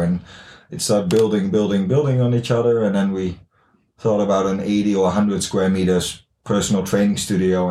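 Mellow young Dutch man in a roomy space. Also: low-pitched. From the Netherlands. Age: late 20s. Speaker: podcast guest, gym founder. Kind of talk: casual interview chat.

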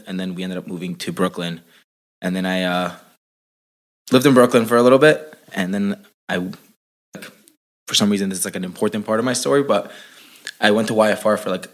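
Very deep-voiced young man guest. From Chile. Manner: laid-back, lots of "like" and "uh". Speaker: podcast guest. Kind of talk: casual interview chat.

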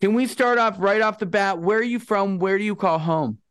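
A man's voice: high-pitched, moderate pace, fairly bright tone, smooth. A man hosting a podcast, chatting in an upbeat, enthusiastic way with his guest. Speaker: podcast host. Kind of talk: casual interview chat.

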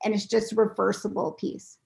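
A woman's voice: medium pitch, flat delivery, brisk, a little mellow. A woman guest on a podcast, telling stories and explaining a sewing craft step by step in a casual, patient way. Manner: explains in steps, patient and clear. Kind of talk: casual interview talk.

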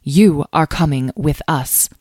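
A woman's voice: deep voice, slow pace, darkish tone, husky, no room sound, studio quality, heavily acted. A woman voicing a protective mother dragon leader in a storybook, earnest and commanding. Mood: stern resolve.

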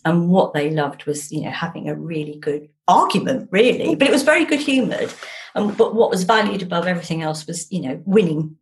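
Animated woman chatting in an interview. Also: low-pitched. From London, England. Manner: animated, emphatic, repeating words for effect. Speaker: author guest. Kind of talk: casual conversational interview.

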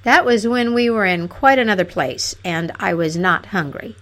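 A cross young gentleman from a fairy tale, played by a woman storyteller. He is curt and selfish, grumbling petulantly in a highly animated voice. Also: normal speed, natural voice. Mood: callous.

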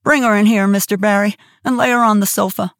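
A woman voicing a stern, sensible older woman who talks in a sharp, shrewish way. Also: medium pitch, steady tone, fast talker, no echo, clean studio sound, natural voice.

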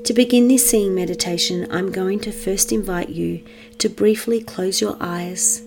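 A woman leading a guided meditation for children in a gentle, measured manner with long pauses. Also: on the low side.

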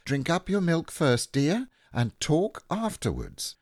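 A man voicing a gentle animal mother, mildly scolding and reproachful. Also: a little high, huge pitch swings, slow pace, no echo, studio quality, voice pushed up, exaggerated voice.

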